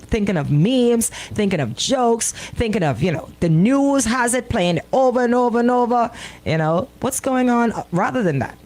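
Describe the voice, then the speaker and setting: Animated, opinionated woman radio co-host. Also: fairly high pitch, normal speed. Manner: emphatic, repeats phrases and rhetorical questions.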